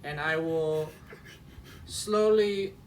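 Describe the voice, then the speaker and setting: A man voicing a goofy male train conductor giving silly announcements in a slow, mock-official tone. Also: on the high side, recorded from a distance, a little hiss or hum, rough recording.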